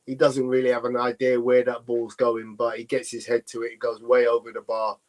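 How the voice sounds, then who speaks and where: A man's voice: mid-range voice, completely flat delivery, fairly quick, a little mellow. A man calling the play and pushing back in debate on a live football watchalong.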